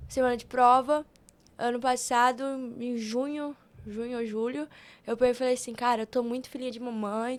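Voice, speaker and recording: A young woman with a high voice and a Brazilian Portuguese accent. She tells stories in an informal, animated podcast style, slipping into quoted dialogue as she goes. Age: young adult. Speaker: podcast guest, youth institute member. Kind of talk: casual storytelling on a podcast.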